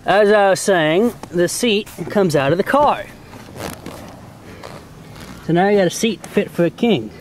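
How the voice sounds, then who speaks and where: A man with a slightly high voice talks through a hands-on job. He is folksy and plain-spoken, like an amateur showing viewers each step. There is some noise in the homemade recording, made outdoors around a car. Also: measured pace, long pauses. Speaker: host of a hobby how-to video. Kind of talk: casual hands-on tutorial narration.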